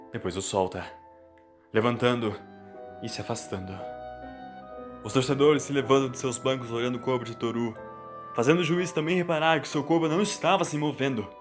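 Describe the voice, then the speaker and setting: A man with a Brazilian accent, narrating a scripted story in a dramatic style. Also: mid-range voice. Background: music.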